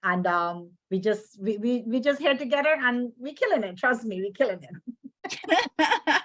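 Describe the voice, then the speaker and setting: Woman, middle-aged, Guyanese accent, highish voice, emphatic informal financial presenter who repeats key phrases. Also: very animated, measured pace, fairly bright tone. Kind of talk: informal sales presentation to an audience.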